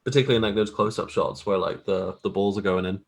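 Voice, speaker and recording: Low-voiced man, modest and hesitant. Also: fast talker, vocal fry, slightly rough. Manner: modest, hesitant, circling phrases. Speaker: guest graphic artist. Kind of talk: casual interview chat.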